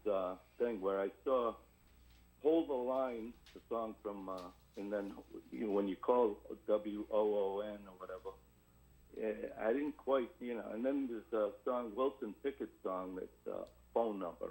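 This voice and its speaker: A man chatting casually as a radio caller, hoarse and froggy, hesitant and rambling. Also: fairly low pitch, darkish tone, poor audio. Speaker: radio call-in listener. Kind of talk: casual call-in chat.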